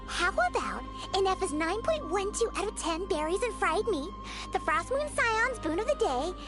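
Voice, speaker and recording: A woman voicing a tiny, excitable, childlike fairy companion in a video game, squeaky and chirpy, full of exclamations. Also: a little slow, music in the background, full character voice. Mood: chatty, scheming. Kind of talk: animated video game voice acting.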